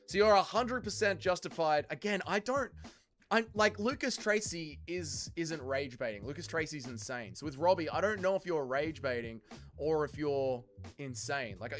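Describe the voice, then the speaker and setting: Lively, heated young man. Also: medium pitch. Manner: heated, blunt, calls chatters trolls. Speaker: livestream host reading chat. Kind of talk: casual livestream chat.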